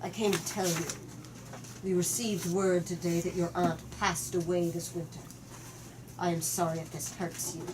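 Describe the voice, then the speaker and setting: A woman's voice: fairly low pitch, level tone, normal speed, natural voice. A woman reading aloud as a stern, cold queen mother, in a clipped, scolding manner, recorded with noticeable room noise.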